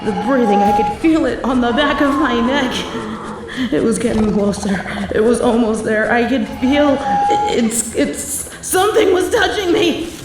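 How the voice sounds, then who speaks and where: A young adult woman performing a scripted horror narration, telling the story in a hushed, tense manner. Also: fairly high pitch, expressive, unhurried, very noisy. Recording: very echoey room.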